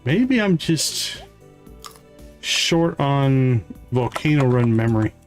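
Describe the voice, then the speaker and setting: A man commentates casually on a live game stream. His voice sits at a middling pitch, and he thinks aloud through each move. Faint music plays behind him. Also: sing-song, slow pace. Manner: thinks aloud while playing.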